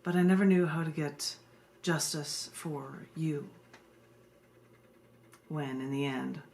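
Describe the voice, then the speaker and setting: An American woman with a fairly low voice presents a live poetry reading. She moves between deliberate, dramatic verse and chatty asides to her viewers. The live stream recording carries some faint noise. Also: gravelly, darkish tone. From United States. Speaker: poet hosting an online poetry reading. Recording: noisy live video stream.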